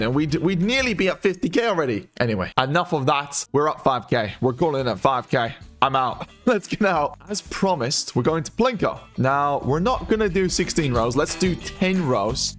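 Hoarse guy kinda high voiced, hyped casual commentary, says bro a lot, lil music under it. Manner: excitable, shouts and laughs at big wins. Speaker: gambling streamer.

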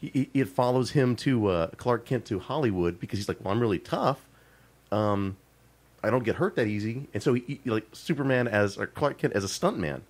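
A man's voice: mid-range voice, expressive, fairly quick. Chatty man with a middle voice. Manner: chatty, lots of fillers and restarts, laughing. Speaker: podcast co-host. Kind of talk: casual chat.

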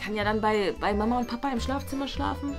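A woman's voice: a little high, fast, faint music behind, lightly acted. Woman playing a grumbling teenage big sister. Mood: skeptical, indignant. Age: young adult. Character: grumbling, self-assured teenage eldest daughter. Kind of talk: scripted family comedy skit.

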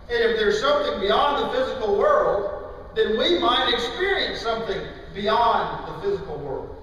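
A man's voice: sits high, a bit bright. Echoey male preacher in a large hall. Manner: emphatic, deliberate phrasing with pauses. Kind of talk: sermon. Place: large church auditorium, indoors. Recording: distant, very echoey hall with faint noise.

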